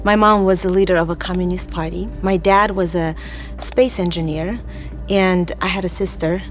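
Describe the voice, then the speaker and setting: A woman from Azerbaijan and Russia telling her personal story in an earnest, reflective, deliberate way. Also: on the low side, fairly even intonation, soft background music. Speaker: church member giving testimony.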